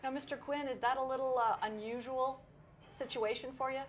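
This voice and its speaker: A woman's voice: high voice, steady tone, fairly quick, a little hiss or hum. A woman hosting a TV interview, asking upbeat questions. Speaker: TV interview host.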